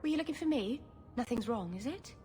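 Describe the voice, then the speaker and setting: High-voiced gentle young elf woman played by an actress. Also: expressive, a little fast, soft background music, natural voice. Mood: wistful. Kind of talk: video game cutscene acting. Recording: faint background noise.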